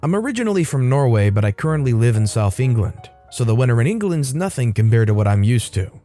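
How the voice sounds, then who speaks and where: Guy reading reddit stories, warm mid voice, soft music behind. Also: mid-range voice, big pitch swings, fast talker. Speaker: story narrator. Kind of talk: reading a Reddit post aloud.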